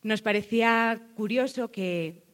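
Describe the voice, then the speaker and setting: A woman from Spain with a Spanish accent, presenting a community project at a public panel as a cultural manager. She speaks thoughtfully, pausing on fillers and often closing a point with a questioning "no?". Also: a little high.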